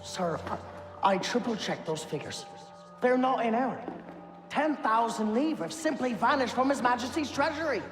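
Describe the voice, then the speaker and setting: A man's voice: very high voice, expressive, normal speed. A man playing an earnest, aggrieved tax clerk in a historical video game, speaking with protesting sincerity. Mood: dismayed. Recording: echoing hall with music underneath.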